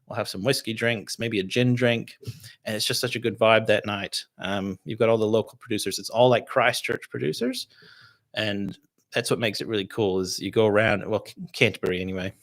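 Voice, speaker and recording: A Canadian man with a North American accent, hosting a casual livestream and answering viewer questions in a relaxed, rambling way. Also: lowish voice, brisk. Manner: relaxed and rambling, with many fillers and restarts. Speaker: distiller hosting a livestream tasting.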